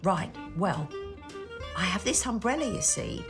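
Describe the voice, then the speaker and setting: A woman's voice: medium pitch, lively intonation, a little slow, music in the background. A woman playing a polite, fussy, earnest lady client in a period audio drama, speaking formally and at rambling length.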